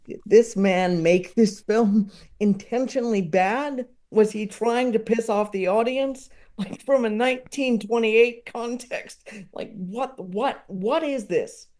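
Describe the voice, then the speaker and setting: A man with a soft-edged, mellow voice talks casually as an interview guest. He goes slowly and haltingly, wandering through his thoughts in a rambling way. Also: high voice. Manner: halting, rambling, with chuckling asides. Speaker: podcast guest, comedy enthusiast.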